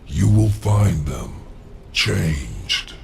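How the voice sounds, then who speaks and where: An actor playing a sly, scheming demon lord in a video game, with a smug, deliberate, theatrical delivery. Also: male voice, low voice, big pitch swings, gravelly, a little hiss or hum, exaggerated voice. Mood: solemn, knowing.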